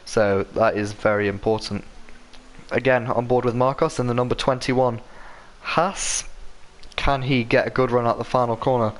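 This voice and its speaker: A man giving live commentary on an online racing league, his voice croaky from a sore throat, rambling a bit and correcting himself with plenty of ums and uhs. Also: medium pitch, fairly even intonation, moderate pace. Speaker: race commentator.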